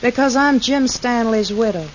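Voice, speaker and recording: Casting: actress as scheming American frontier gold-digger, female, honey-tongued and coy, higher voice, old radio western with light noise. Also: moderate pace, natural voice. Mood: smug. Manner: coy, feigned sweetness. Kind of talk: 1950s radio western acting. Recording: noisy vintage 1950s radio broadcast.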